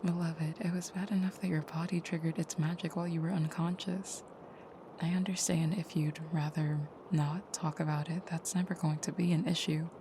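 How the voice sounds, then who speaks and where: Woman acting a low, whispery vampire girlfriend, noisy recording. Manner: soft, breathy murmuring, often whispered, soothing. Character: female vampire girlfriend, protective and tender, menacing in nightmare. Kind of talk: intimate audio roleplay acting.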